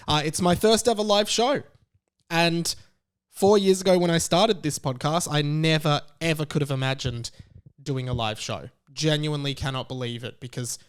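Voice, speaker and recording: A man's voice: on the high side, clear, resonant tone. A young Australian man hosting a podcast, talking in a casual, chatty and emphatic way on his own. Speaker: podcast host. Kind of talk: casual solo podcast monologue.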